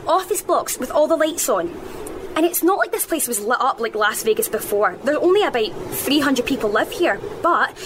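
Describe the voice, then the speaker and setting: A woman's voice: high voice, expressive, normal speed, noisy background. A woman playing a bossy, chatty schoolgirl who films a casual vlog in a radio drama. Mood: explaining. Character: bossy, chatty island schoolgirl vlogger named Lily.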